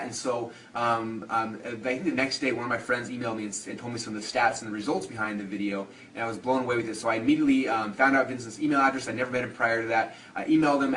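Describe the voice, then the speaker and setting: Fast-talking man, distant noisy recording. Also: mid-range voice, a bit bright. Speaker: customer giving a testimonial. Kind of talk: unscripted spoken testimonial. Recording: distant mic with clear background noise.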